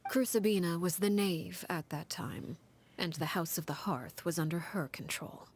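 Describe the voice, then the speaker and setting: Cold composed game character, low voice, breathy half-whisper, measured, grave. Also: female voice. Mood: grave, calm. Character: cold, composed woman recounting a grim past. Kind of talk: game story cutscene dialogue.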